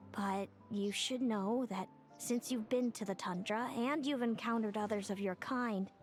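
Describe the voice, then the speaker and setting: Actress as gentle prophetic young sister, game story role, fairly high measured voice over clear music. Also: female voice, natural voice. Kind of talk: video game story dialogue.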